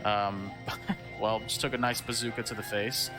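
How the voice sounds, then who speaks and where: A man casually commenting on his own speedrun, chatty and relaxed, with frequent fillers. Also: fairly low pitch, unhurried. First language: English. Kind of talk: casual commentary while playing. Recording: game music behind voice. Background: game music.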